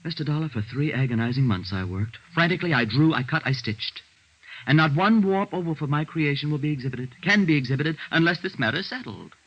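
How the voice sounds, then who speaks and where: A man's voice: on the high side, animated, fast talker, dull audio. An actor playing a flustered, self-dramatizing male dress designer in a theatrical, fussy 1950s radio drama style. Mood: desperate, agitated. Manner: theatrical, fussy, gushing, stammers when agitated.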